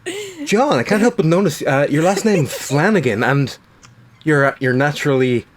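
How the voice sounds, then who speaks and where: A man's voice: a little high, sing-song, moderate pace, slight background noise. A man with an Irish accent, co-hosting a podcast with dry, teasing wit. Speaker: podcast co-host. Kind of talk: casual chat.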